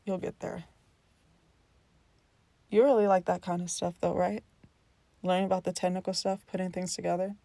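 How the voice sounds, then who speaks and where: A woman voicing a supportive, easygoing young man in naturalistic scripted dialogue, with a gentle, casual way of talking. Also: lowish voice, flat delivery, normal speed, vocal fry, long pauses, no echo. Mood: encouraging.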